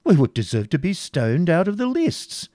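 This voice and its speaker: A man's voice: mid-range voice, lively intonation, normal speed, creaky, mellow, dry recording, clean studio sound, pitched above the performer's own voice. A man voicing a pious, easily scandalised prior in a storybook reading, fussy and exclamatory. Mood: horrified.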